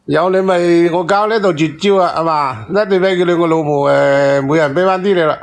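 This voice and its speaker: Fast, insistent Cantonese man, higher-pitched. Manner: repetitive, insistent, crude. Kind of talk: casual banter.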